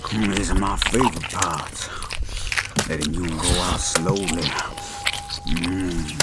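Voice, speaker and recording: A man voicing a villainous werewolf with a Cajun accent in a horror audio roleplay, sadistic and gloating, savoring his prey with drawn-out murmurs. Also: low voice, fairly even intonation, very noisy.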